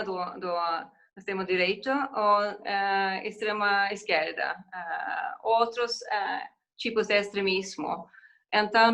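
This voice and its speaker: A woman with a Swedish accent, an ambassador answering on a webinar panel, slow and halting with many fillers. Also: medium pitch, fairly bright tone. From Sweden.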